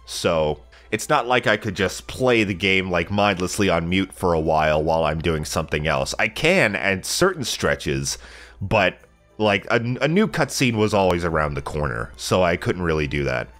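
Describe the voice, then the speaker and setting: Young man, stuffy nasal cold voice, lowish pitch, rambling casual game review. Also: lively intonation, moderate pace, fairly bright tone. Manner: rambling, lots of fillers and self-corrections. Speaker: let's play channel regular.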